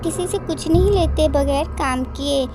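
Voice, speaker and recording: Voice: high-pitched, moderate pace. A performer voicing a poor, polite young village boy who pleads and worries in a children's cartoon story, with music behind. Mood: sad, humble.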